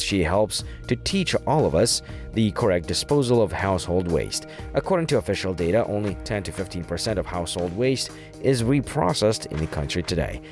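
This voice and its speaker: Deep-voiced male documentary narrator over music. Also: darkish tone. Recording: music behind the voice. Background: background music.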